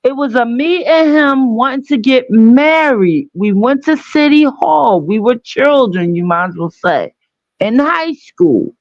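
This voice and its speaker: A woman's voice: sits high, expressive, a little slow, no room sound, lo-fi sound. A woman from Trinidad, a caller in a casual live chat, insistently repeating her point in a heated debate.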